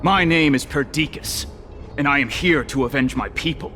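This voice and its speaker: A man's voice: on the high side, normal speed, bright, forceful tone, soft background music. A man playing a proud, loyal warrior in a video game, declaiming with solemn defiance. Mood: defiant. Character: defiant young warrior avenging his people, proud, loyal. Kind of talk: dramatic video game voice acting.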